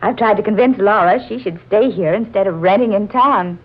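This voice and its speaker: A woman's voice: medium pitch, brisk, close to an everyday voice. An actress playing a cheerful, teasing woman friend in a 1940s radio drama. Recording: muffled, hissy 1940s radio recording.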